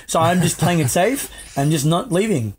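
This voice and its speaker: A man's voice: on the high side, animated, normal speed, breathy edge. Laid-back Australian man on a call. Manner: laid-back, rambling with many fillers. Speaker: podcast guest, wrestler and personal trainer. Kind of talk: casual video call chat. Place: small home room indoors. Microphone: video call, earphone mic.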